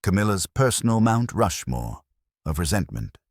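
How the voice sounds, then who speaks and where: A man with a very deep voice narrates scripted detective fiction. His delivery is wry and hardboiled, moving through a lively range of pitch, and the sound is dry and close. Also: moderate pace, no echo. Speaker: audiobook narrator.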